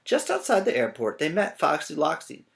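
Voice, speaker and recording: A male teacher reads aloud to children. His pitch swings hugely up and down, and he playfully pauses to put questions to his listeners. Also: wildly swooping pitch, vocal fry. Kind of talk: animated children's story read-aloud.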